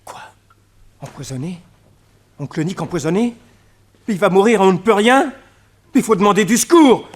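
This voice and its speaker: An actor voicing an excitable young male nephew who talks urgently in a radio drama. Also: sits high, moderate pace, pauses often, a little hiss or hum.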